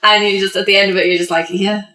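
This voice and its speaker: A young adult woman with an Irish accent, chatting casually as a guest and telling personal stories in an animated way, acting out what people said. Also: medium pitch, fast talker. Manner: animated, acts out quoted remarks. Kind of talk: casual chat, personal storytelling. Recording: roomy echo, faint noise.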